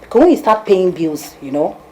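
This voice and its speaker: An actress playing a domineering, sharp-tongued, self-serving girlfriend in a film drama, scolding theatrically with rhetorical questions. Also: lowish voice, sing-song, a little fast, noisy background.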